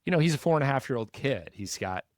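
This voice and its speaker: A man's voice: mid-range voice, expressive, fast talker, darkish tone, no echo, studio quality. A male podcast host, curious and affirming, asking long conversational questions. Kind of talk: conversational interviewing.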